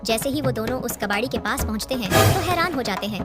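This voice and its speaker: A woman narrates a scripted cartoon tale as its storyteller. Her voice is fairly high, and loud music plays behind it. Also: expressive, fast talker.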